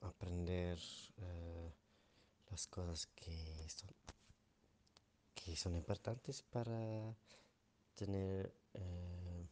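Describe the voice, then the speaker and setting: A man's voice: deep voice, warm tone. A man from Belgium with a Belgian Dutch accent, speaking as a Dutch native who learned the language later, answering interview questions hesitantly with many fillers and self-corrections.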